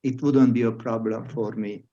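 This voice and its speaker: A man from Hungary with a Hungarian accent, hosting a podcast interview in casual chat and speaking in halting phrases with frequent restarts. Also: medium pitch, fairly even intonation. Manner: halting, restarts words, laughs softly. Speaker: podcast host. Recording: dull lo-fi audio.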